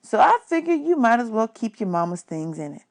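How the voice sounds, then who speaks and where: A woman's voice: fairly high pitch, big pitch swings, a little fast, creaky. A woman voicing an easygoing, kindly male jazz musician who mentors a boy in a storybook. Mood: generous, warm.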